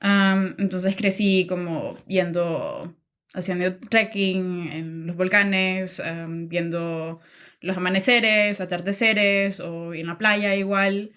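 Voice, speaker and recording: Young woman, from Nicaragua, podcast guest, slow, casual, fillers. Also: on the low side. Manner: relaxed, many "am" and "em" fillers, laughs easily. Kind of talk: casual interview chat.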